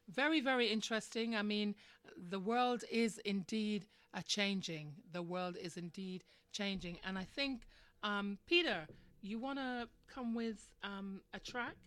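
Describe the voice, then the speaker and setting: A woman's voice: medium pitch, fairly bright tone, dry recording. A woman hosting a live radio discussion, speaking in a conversational, opinionated way and putting questions to her listeners. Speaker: radio show host.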